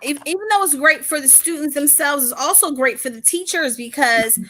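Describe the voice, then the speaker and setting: Bright, high-voiced upbeat woman author. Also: brisk. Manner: upbeat, motivational, animated. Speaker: children's book author. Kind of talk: reading aloud to children, then interview.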